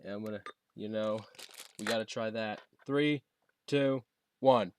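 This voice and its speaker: A man talking casually and haltingly, thinking aloud as he demonstrates a gadget he is setting up. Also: on the low side, a little hiss or hum. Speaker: product reviewer demonstrating a gadget. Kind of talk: casual unscripted unboxing and setup demo.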